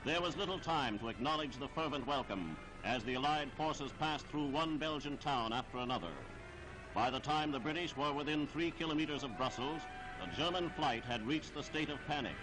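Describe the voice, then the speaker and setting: A man reading scripted 1940s newsreel narration in a formal, declamatory, measured delivery. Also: medium pitch, rough recording. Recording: 1940s archival newsreel soundtrack with music.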